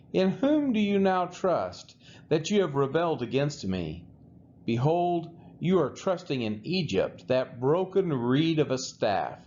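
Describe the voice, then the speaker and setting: A man's voice: fairly high pitch, a little mellow, a little hiss or hum. A man reading the lines of a haughty male Assyrian envoy who taunts a besieged city with mocking questions. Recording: dull lo-fi sound.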